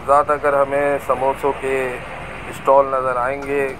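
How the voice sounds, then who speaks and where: A man slowly narrating a market street tour from a bike, with traffic and crowd noise behind him. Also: medium pitch, monotone. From Pakistan. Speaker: vlogger. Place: busy market street outdoors. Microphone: camera on a moving bike. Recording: noisy outdoor street recording.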